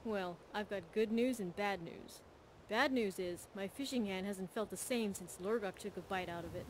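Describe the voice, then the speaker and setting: A woman's voice: mid-range voice, normal speed, slight background noise, natural voice, poor audio. A woman playing a tough, cocky veteran thief in a video game, with a streetwise, blunt and wry manner. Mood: shrewd, probing. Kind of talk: video game character dialogue.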